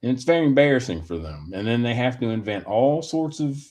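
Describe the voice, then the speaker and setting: A man giving a rambling personal opinion monologue in a deliberate, halting manner with wordy, formal phrasing. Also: medium pitch, lively intonation, a little mellow.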